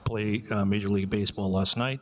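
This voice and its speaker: A middle-aged or older man speaks casually as a panelist. His voice is fairly low. Background noise is clearly audible in the live recording. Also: fairly even intonation, fairly quick. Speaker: panelist, technology executive. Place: event room before an audience.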